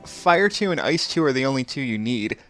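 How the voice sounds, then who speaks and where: A man of thirty hosts a let's play in an easy, chatty way. His voice sits at an average pitch and he is prone to chuckling between remarks. Game music plays faintly behind him. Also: lively intonation, fairly quick, a bit bright. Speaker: gameplay video host. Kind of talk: casual let's play commentary.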